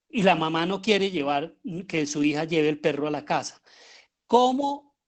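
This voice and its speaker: A man with a Colombian accent giving an explanatory lecture as a teacher, often pausing and posing rhetorical questions. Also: sits high. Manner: halting, with pauses and rhetorical questions. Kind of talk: explanatory lecture on writing audio fiction.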